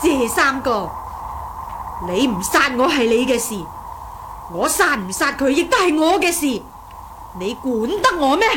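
Scornful, high-voiced old villainess. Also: female voice, big pitch swings, a little slow, long pauses. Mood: menacing, mocking. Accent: Hong Kong Cantonese. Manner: scornful, snorting. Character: vicious, defiant old woman martial arts villain. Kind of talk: Cantonese radio drama acting. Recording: noisy radio drama sound effects.

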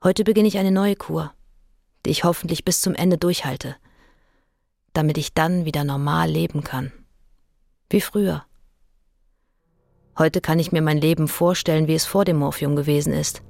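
An actress playing an insistent woman in her late thirties, clearly enunciating in a naturalistic radio drama. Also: deep voice, steady tone, moderate pace, long pauses, darkish tone. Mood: subdued, confessional. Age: 30s. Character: Mira, tireless, insistent interpreter friend in her late thirties.

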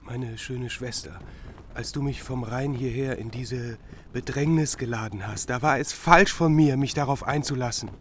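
An actor playing a doomed, betrayed prince in a German radio drama, with a plaintive delivery. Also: male voice, mid-range voice, moderate pace, lightly acted. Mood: reproachful. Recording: loud noise behind the voice.

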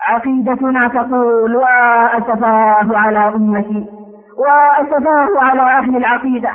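A man preaching an impassioned religious sermon in formal language, exhorting listeners with rhetorical questions and repeated refrains. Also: very high voice. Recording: thin phone-like lo-fi sound.

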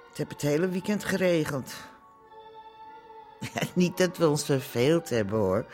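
A woman's voice: sits low, darkish tone. A long-married café landlady in a radio play. She is middle-aged, chatty and forgiving, with a wistful way of talking. Light music plays behind her. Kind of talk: naturalistic radio drama acting.

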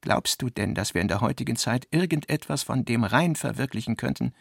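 A man's voice: medium pitch, animated, a little fast, creaky, breathy edge, no room sound, clean studio sound. A man reading the part of a self-important, striving man in his early thirties, earnest and self-dramatising, in a radio play. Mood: earnest, anguished.

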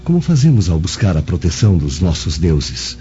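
Low, mellow, awestruck Roman. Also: male voice, sing-song, moderate pace, highs cut off, faint music behind. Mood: reverent. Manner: solemn, deferential storytelling. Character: earnest, awed Roman senator, humble and reflective. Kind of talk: radio drama acting.

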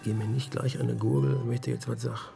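An actor playing a gruff, blunt, rumpled investigator in a German radio drama, talking colloquially. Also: male voice, medium pitch, flat delivery, quick pace, dark tone, faint music behind. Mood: subdued.

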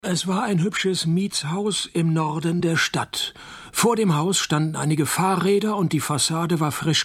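A laconic private detective in a radio play. He is dry, deadpan and sardonic, whether narrating or trading wisecracks. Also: a little high, slightly rough.

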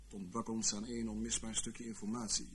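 An actor playing a dry, wry senior British intelligence chief with droll bureaucratic understatement in a Dutch radio play. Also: male voice, on the low side, level tone, fairly quick, noisy background, natural voice.

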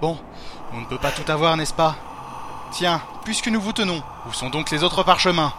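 An actor playing a fanatical, smug cult leader in a French fantasy audio drama, with a pompous, ceremonial and mockingly courteous manner. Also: male voice, a little high, animated, normal speed, background noise, lightly acted. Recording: music under the voice.

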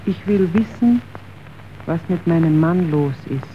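An actress playing an anguished, stubborn working-class wife in a radio drama, speaking emphatically and accusingly. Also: female voice, fairly low pitch, animated, measured pace. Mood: bitter, defiant. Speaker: actor. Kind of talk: German radio drama acting. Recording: dull, noisy old radio recording.

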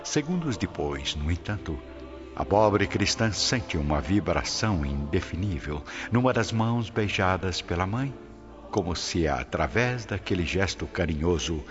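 A man with a Brazilian accent narrating a radio drama in a solemn, literary, measured style. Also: low-pitched, expressive. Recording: dull lo-fi audio under loud music.

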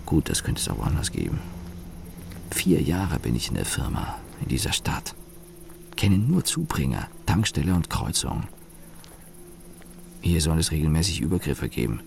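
A man's voice: extremely low pitch, wildly swooping pitch, moderate pace, gravelly, long pauses, slight background noise, heavily acted. A man playing a weary, cynical office engineer in a radio play, muttering a sardonic monologue in clipped fragments. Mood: sardonic, observant.

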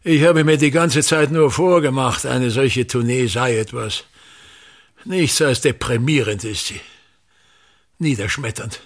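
An actor playing a pompous, tyrannical older theatre manager in a radio drama, declaiming scornfully in a gravelly, husky voice. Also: male voice, medium pitch, unhurried, natural voice. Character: pompous, tyrannical ageing male theatre actor-manager berating his son.

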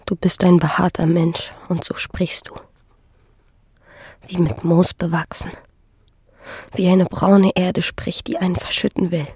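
Upset young farm maid in a radio play, lowish breathy voice, wounded and intense. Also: female voice, flat delivery, unhurried, pauses often, darkish tone, no echo, heavily acted. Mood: hushed, wounded. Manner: anguished, intense. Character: distraught, pregnant young peasant maid, wounded and wary. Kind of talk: German radio drama acting.

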